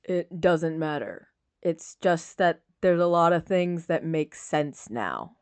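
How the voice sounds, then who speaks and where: A woman voicing a troubled, lovesick young man in a subdued, halting and defensive way for an audiobook. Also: low-pitched, animated, clean tone, no echo, voice pushed down.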